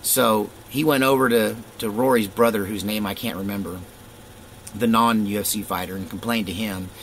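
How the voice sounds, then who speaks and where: A middle-aged man casually telling a rambling personal story, animated and full of fillers and chuckles. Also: lowish voice, normal speed, a little hiss or hum, low-quality recording.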